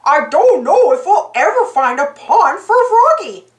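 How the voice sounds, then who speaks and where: Big goofy clumsy cartoon dog guy, lady putting him on, kinda loud, echoey room. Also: extremely high pitch, big pitch swings, unhurried, full character voice. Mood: excitable. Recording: roomy echo.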